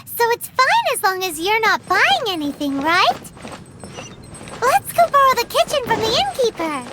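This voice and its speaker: A woman voicing a tiny, childlike fairy companion in a video game, cheeky and squeaky. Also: huge pitch swings, moderate pace, noisy background, full character voice. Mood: puzzled, curious. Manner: speaks of self in third person. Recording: music playing behind the voice.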